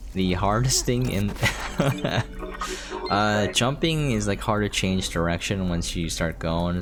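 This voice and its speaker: A man giving casual gameplay commentary, thinking aloud and trailing off, with game music behind him. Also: deep voice. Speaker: game streamer. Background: video game music.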